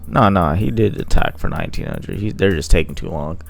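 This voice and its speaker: A man's voice: deep voice, wildly swooping pitch, a little fast, creaky, soft background music. A man giving casual play-by-play commentary on a card game match, thinking aloud with frequent fillers. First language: not Italian.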